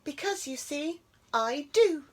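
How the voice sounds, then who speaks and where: A woman voicing a small, hungry, clever female mouse in a storybook reading, high and squeaky. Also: expressive, slow pace. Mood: pleading.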